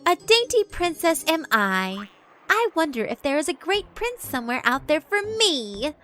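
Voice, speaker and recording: Loud, haughty schoolgirl princess. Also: high-pitched, big pitch swings, measured pace, faint music behind, pitched above the performer's own voice, full character voice. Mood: theatrical. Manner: pompous, melodramatic, boastful. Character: haughty, bragging, theatrical young schoolgirl playing princess.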